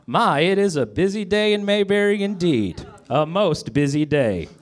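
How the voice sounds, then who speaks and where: A man's voice: high voice, expressive, moderate pace, voice pushed up. A man voicing a mild, musing small-town barber with a quaint manner, in a comic parody reading.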